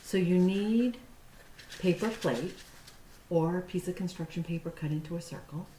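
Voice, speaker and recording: A woman hosting storytime for young children, speaking in simple, deliberate phrases as she reads aloud or explains a craft. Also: on the low side, dark tone. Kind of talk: picture-book read-aloud and craft demonstration for young children. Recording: roomy echo with faint background noise.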